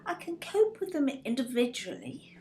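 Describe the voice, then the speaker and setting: A high, very animated English woman's voice, a fretful mother in a radio play. She sounds flustered and apologetic, and the sound is roomy. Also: normal speed. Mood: nervous, apologetic. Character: anxious, fretful mother of a sullen son. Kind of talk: audio drama acting. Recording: roomy echo, faint background noise.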